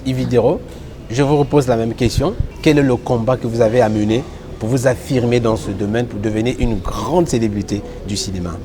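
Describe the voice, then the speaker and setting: A man's voice: mid-range voice, fairly quick, background noise. A man interviewing actresses who asks probing, prompting questions.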